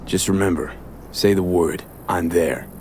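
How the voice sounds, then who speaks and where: An actor playing a tough but loyal and reassuring mercenary in a video game, speaking in clipped phrases. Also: male voice, low-pitched, steady tone, unhurried, a little mellow, slight background noise. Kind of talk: dramatic video game acting.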